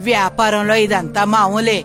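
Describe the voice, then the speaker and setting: A woman's voice: mid-range voice, little pitch movement, moderate pace, lightly acted. A woman playing a brash, combative mother in a film drama, scolding and dismissive as she shields her grown son. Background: music.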